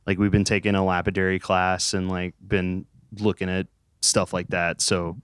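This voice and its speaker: Smooth low-voiced young American man. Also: level tone, moderate pace, fairly bright tone, no room sound. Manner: joking, rambling, sweary. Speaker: band musician being interviewed. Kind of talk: casual chat.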